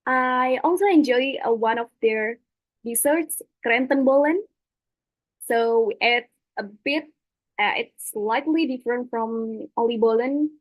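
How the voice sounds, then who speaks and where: A woman's voice: a little high, unhurried, no echo, rough recording. A young woman from Indonesia giving a webinar talk over slides, hesitant with many fillers and restarts. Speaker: webinar speaker. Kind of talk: presentation with slides.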